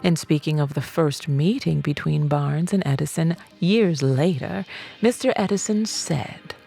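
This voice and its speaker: A woman reading an audiobook as scripted narration, in a measured, deliberate way with emphatic phrasing. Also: low-pitched, vocal fry, warm tone, soft background music. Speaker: audiobook reader.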